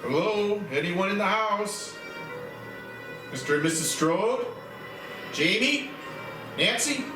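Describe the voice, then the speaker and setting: A man plays a nervous police deputy in a horror drama. He has a high voice and calls out slowly and uneasily. The sound is distant and echoey, over music. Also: lively intonation. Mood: nervous, calling out. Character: nervous sheriff's deputy searching a dark house. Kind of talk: dramatic screenplay table read.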